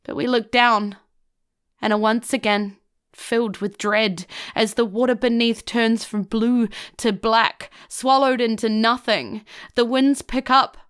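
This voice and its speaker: High-pitched, slow female dramatic narrator. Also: steady tone, a bit bright, clean tone. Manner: ominous, measured storytelling.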